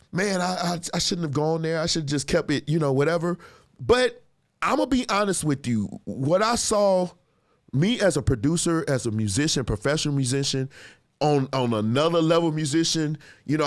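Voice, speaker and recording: A man who is a gospel musician and producer, hosting casual reaction commentary, chatty and repeating himself for emphasis. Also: high-pitched. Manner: animated, chatty, laughs often; talks straight to viewers, repeats himself for emphasis. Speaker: host reacting to clips.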